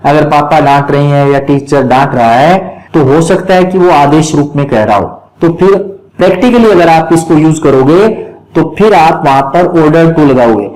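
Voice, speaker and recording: A man's voice: fairly high pitch, normal speed, few pauses, darkish tone, low-quality recording. An Indian man, a native Hindi speaker, explaining grammar as a teacher in a methodical, step-by-step way. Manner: methodical, step-by-step, repetitive instructional phrasing. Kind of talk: explanatory lesson, reading examples aloud.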